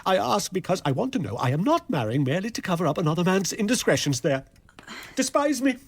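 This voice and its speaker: A man's voice: sits high, big pitch swings, quick pace, heavily acted. An actor playing an aging, sentimental tutor and father who pleads and abases himself theatrically in a radio drama. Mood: anguished. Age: older. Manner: theatrical, pleading, self-abasing.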